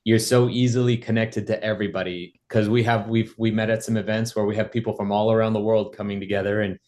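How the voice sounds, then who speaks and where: A man hosting a casual interview podcast, friendly and enthusiastic, reacting quickly to his guest. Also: lowish voice, little pitch movement, a little mellow. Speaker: podcast host.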